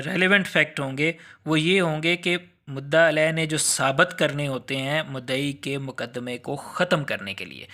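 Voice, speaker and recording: A man's voice: on the high side, bright, forceful tone. A man with a Pakistani accent giving an informal explanatory law lecture, mixing English legal terms into his explanations.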